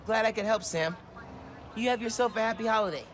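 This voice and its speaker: A man's voice: high-pitched, a little fast, natural voice. An actor playing an upbeat, friendly teenage boy superhero in a video game, with casual, earnest, joking delivery. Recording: loud music behind the voice.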